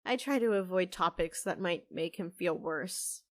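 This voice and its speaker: An actress playing a young half-fae newcomer, secretive and good-hearted, with a hesitant manner in an audio drama. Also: female voice, fairly low pitch, moderate pace, no echo, natural voice. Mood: earnest, curious.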